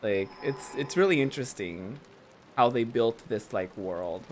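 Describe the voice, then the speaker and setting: A young man streams a game and chats loosely over it, his voice hoarse and raspy from hours of talking. He rambles through stories with a steady stream of "like," and a faint noise sits behind him. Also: mid-range voice, measured pace. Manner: chatty, rambling, says "like" a lot. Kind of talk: casual let's-play commentary.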